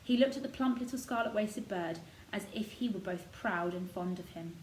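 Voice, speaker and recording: A woman's voice: medium pitch, normal speed. Woman reading a storybook, echoey room. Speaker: storybook reader. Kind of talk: scripted narration of a children's novel. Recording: roomy echo, noticeable background noise.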